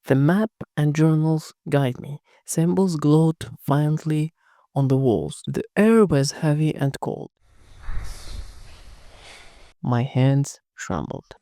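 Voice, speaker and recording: A narrator telling a first-person horror story in a hushed, dramatic scripted reading. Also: medium pitch, lively intonation, slow, no echo, studio quality.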